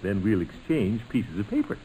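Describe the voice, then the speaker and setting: An actor playing a hearty, pompous middle-aged uncle in 1940s radio comedy, blustery and coaxing. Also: male voice, fairly low pitch, sing-song, normal speed, warm tone, husky. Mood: coaxing, worried. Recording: noisy old-time radio recording.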